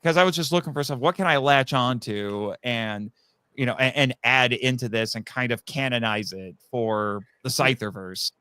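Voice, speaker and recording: A man's voice: mid-range voice, moderate pace, bright, forceful tone, clean tone, dry recording. A man talking casually as a podcast guest and audio drama writer, chatty and rambling, with frequent asides.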